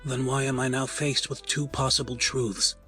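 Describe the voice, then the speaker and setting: An actor as a proud, menacing mob patriarch in an audio drama. He speaks in formal, measured phrases at a middling male pitch, grave and dignified, with music playing behind him. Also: level tone, fairly bright tone, natural voice. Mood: grave, accusing.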